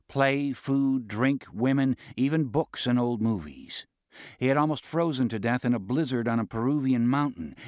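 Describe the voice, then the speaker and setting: A man narrating a first-person novel in a wry, measured storytelling manner. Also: medium pitch, dry recording, clean studio sound. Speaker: audiobook narrator.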